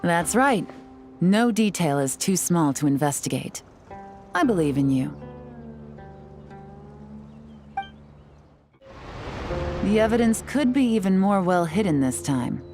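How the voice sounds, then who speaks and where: An actress playing a cool, self-assured female investigator in a video game, a shrewd mentor with a measured, knowing delivery. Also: lowish voice, very animated, a little mellow, faint music behind. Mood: encouraging.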